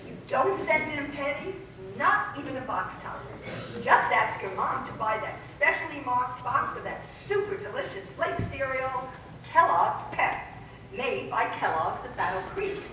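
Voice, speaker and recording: A woman's voice: high voice, big pitch swings, unhurried, noisy background. A woman playing an earnest old-time radio commercial announcer in a stage show. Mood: chipper, persuasive. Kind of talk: 1940s radio acting. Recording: echoey distant hall recording, loud music.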